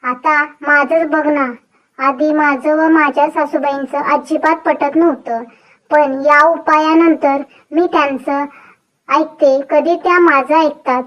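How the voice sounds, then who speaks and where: A woman's voice: sits high, fairly even intonation, slow pace, dull audio. A woman voicing a sensible, encouraging young married friend who gives advice in a dubbed moral story. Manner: advising, explanatory. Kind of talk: animated moral story dubbing.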